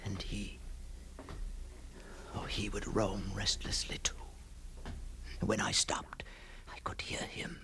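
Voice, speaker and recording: A man's voice: fairly low pitch, little pitch movement, slow, long pauses, whispered. An actor playing an obsessed, brooding man who stalks apparitions, telling his ghost story in a theatrical, intense monologue. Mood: secretive, tense. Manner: theatrical, hushed passages swelling into fervent declamation. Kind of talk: dramatic first-person ghost story monologue.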